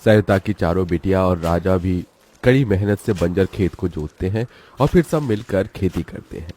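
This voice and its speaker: A man narrating a scripted cartoon moral story in a dramatic, expressive storytelling style. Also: deep voice, normal speed, husky.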